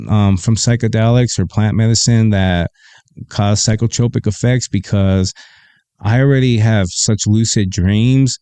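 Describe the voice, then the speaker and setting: A man with a deep, bassy voice, low in pitch with a dark, mellow and smooth tone. He hosts a podcast in a casual, laid-back way, airing firm opinions. He is recorded dry and close on a desk mic. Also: no room sound. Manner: laid-back, opinionated, reads aloud from a web page. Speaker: podcast host. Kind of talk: casual livestream talk.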